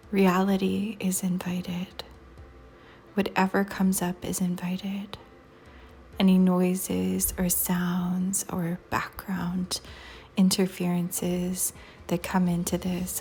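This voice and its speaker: A woman guiding a meditation in a soft, breathy near-whisper, calm and soothing, with long pauses between phrases. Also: lowish voice. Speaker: meditation guide. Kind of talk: guided meditation. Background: soft music.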